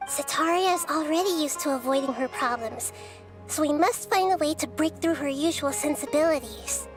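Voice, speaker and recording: A woman voicing a calm, wise young girl deity in a video game, speaking in a measured, explanatory way. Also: high voice, natural voice. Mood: calm, explaining.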